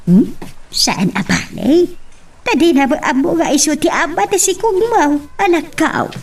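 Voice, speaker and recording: A woman's voice: very high voice, expressive, measured pace, far from the mic, heavily acted. A woman playing a stern, scolding older mother in an audio love drama, with a Filipino accent and a reproachful tone.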